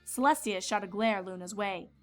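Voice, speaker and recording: A woman's voice: mid-range voice, moderate pace, faint music behind. A woman reading fan fiction aloud as a storybook narrator.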